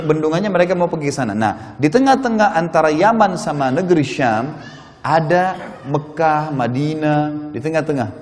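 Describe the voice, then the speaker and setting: A male Islamic preacher speaks in a somewhat high-pitched voice. He lectures on religious history, explaining things to his congregation and addressing them directly with short check-in tags. His words ring out with the long reverb of a mosque prayer hall. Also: darkish tone.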